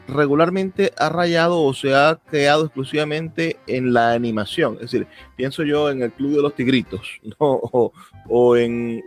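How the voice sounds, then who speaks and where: A man with a Venezuelan accent hosting a radio interview, asking long conversational questions in a halting way, searching for words. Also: medium pitch.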